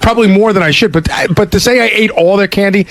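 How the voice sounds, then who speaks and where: Male radio staffer, defensive, argumentative, rapid, slightly high-pitched, close dry sound. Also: no echo. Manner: defensive, profane. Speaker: radio show staff member. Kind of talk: casual argumentative banter. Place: radio studio.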